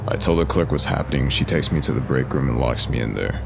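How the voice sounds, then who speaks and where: A man narrates a scary first-person story in a rock-bottom bass. His voice is thick with gravelly creak. The recording carries clear background noise. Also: quick pace. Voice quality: very deep, gravelly.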